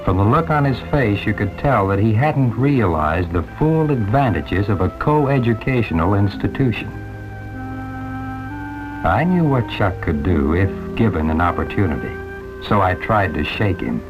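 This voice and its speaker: Young American serviceman, low-pitched, folksy first-person narration on a dull 1950s film track with music. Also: animated, measured pace. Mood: casual, reflective. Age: young adult. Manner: folksy, conversational, wry. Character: easygoing young airman recounting his rivalry with his cousin.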